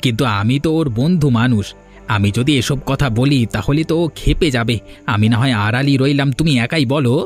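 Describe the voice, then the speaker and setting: A man's voice: medium pitch, brisk, close to an everyday voice. Man playing a grumbling, treacherous villager, music behind. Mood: conspiring. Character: resentful, treacherous young village man, hesitant plotter. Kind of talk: Bengali cartoon voice acting.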